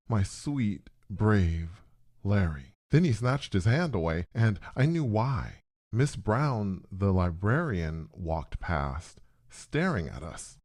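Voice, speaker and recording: Male narrator for dramatic first-person fiction. Lowish register, unhurried delivery, very varied intonation. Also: darkish tone.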